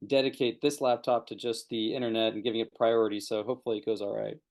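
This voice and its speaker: A man hosting a podcast over a video call, chatty and quick to laugh, with easy asides. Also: fairly low pitch, flat delivery, gravelly, darkish tone. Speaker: podcast host. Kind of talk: casual video-call interview.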